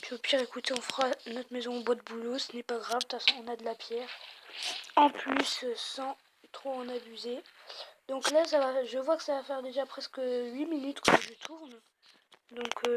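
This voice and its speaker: A woman with a southern French accent giving rambling, chatty commentary on her game, full of hesitations, recorded on a phone microphone. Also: sits high. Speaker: let's play host. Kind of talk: casual gameplay commentary. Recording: loud noise on a glitchy phone mic.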